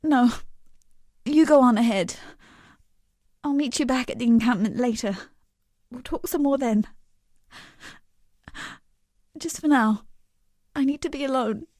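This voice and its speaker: Woman acting a high-voiced, grieving exile woman. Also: a little mellow, dry recording, studio quality, natural voice. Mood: pleading, resigned. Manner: earnest, subdued, halting. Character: grieving young woman exile, earnest and guarded. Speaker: game non-player character. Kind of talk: dramatic video game voice acting.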